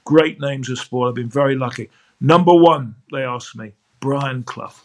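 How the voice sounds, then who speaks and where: A man's voice: mid-range voice, moderate pace. An older man, a veteran sports broadcaster, telling fond anecdotes in a chatty interview, often restarting his sentences.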